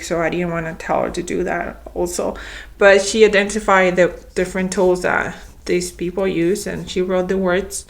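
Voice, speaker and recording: A young adult woman with a slightly hoarse voice, chatting casually as she shows her homeschool materials in a vlog, with frequent ums and restarts. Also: mid-range voice. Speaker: homeschooling mom vlogger. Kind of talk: casual show-and-tell vlog.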